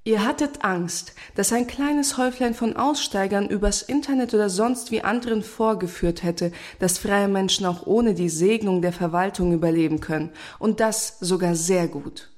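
A woman's voice: mid-range voice, normal speed, few pauses, a little mellow, lightly acted. Woman acting a sardonic, betrayed commune dweller. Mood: reproachful. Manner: probing, sardonic, accusing. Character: betrayed female commune member, sceptical, sardonic interrogator. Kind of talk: satirical German radio play.

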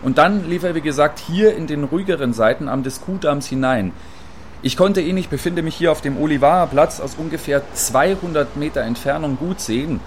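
A man's voice: a little high, close to an everyday voice. Man playing an on-scene radio reporter, background noise. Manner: factual, deliberate, long-winded. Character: earnest, wordy male on-scene radio reporter. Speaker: field reporter. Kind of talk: satirical radio play as live news report.

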